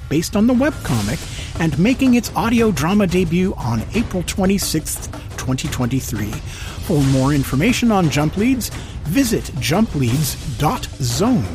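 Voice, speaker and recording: A man hosting a radio show, interviewing and reading announcements. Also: fairly high pitch.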